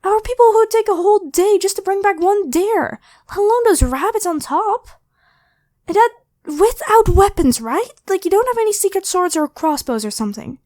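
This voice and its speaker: A woman's voice: very high-pitched, lively intonation, moderate pace, a little mellow. A woman voicing a grateful, flustered young woman who rambles and stammers apologetically in an ASMR roleplay spoken to the listener. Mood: astonished.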